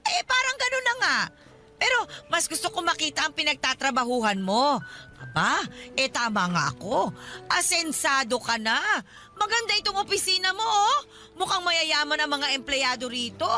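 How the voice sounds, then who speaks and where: A shrill, wheedling old Filipina godmother. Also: big pitch swings, normal speed, sharp tone, soft background music, full character voice. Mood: nosy, boastful. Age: older. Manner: wheedling, dramatic, reproachful. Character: elderly, nosy, guilt-tripping, money-grasping Filipina godmother. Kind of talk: radio drama acting.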